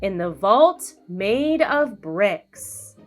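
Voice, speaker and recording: A woman hosting a children's read-aloud, telling a storybook in an expressive, sing-song way. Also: medium pitch, faint music behind. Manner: animated, slow and deliberate sing-song delivery.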